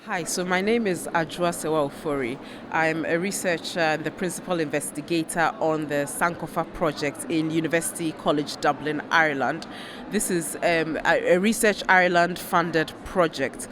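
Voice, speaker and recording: Ghanaian-born woman researcher, noisy background. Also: low voice. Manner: thoughtful, measured, with fillers. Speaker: researcher, guest. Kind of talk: panel conversation.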